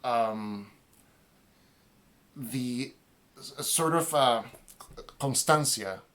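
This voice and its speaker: A man's voice: medium pitch, extremely slow, a bit bright. A man interviewing a guest over a video call for a youth orchestra, asking eager questions with fillers and restarts.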